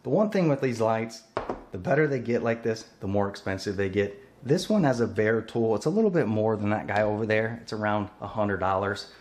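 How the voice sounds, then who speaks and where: Lowish-voiced man demoing work lights. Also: expressive, brisk, a little mellow. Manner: plain-spoken, repeats "et cetera there". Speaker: tool reviewer. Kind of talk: casual hands-on product demo. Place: indoor garage workshop.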